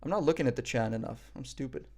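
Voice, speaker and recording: A man's voice: mid-range voice, fast talker, darkish tone. A young man from Morocco, casually commenting on a boxing event on a live stream, rambling and joking with frequent swearing and fillers.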